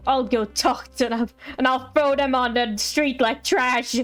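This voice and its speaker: A woman's voice: high voice, steady tone, slow pace. A woman livestreamer giving casual, playful, reactive commentary on a game. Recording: faint game music behind.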